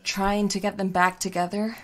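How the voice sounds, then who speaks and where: A woman's voice: mid-range voice, little pitch movement, normal speed, recorded from a distance, natural voice. An actress playing an earnest, literal-minded female superhero in a comedic audio drama. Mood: puzzled. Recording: echoey, off-mic, music behind.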